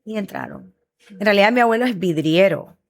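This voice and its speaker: A woman's voice: medium pitch, a little fast. A middle-aged woman from Honduras, a guest in an interview who tells her stories with enthusiasm. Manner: animated, enthusiastic storytelling. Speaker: guest, restaurant founder. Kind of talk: interview conversation.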